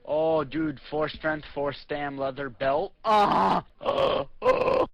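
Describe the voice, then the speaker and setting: A man on game voice chat, chanting repeated phrases broken by loud cries. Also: fairly high pitch, slow pace, vocal fry, no echo.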